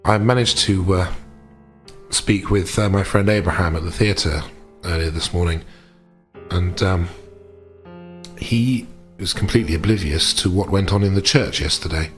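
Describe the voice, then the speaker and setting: A man playing a reserved, polite gentleman investigator in a tabletop roleplay, speaking formally and hesitantly. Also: low voice, soft background music, natural voice. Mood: uneasy, puzzled. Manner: hesitant, measured, formal period phrasing.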